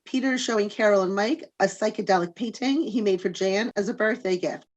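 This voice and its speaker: A woman's voice: medium pitch, brisk, highs cut off, background noise. A woman narrator reading stage directions for an amateur script reading.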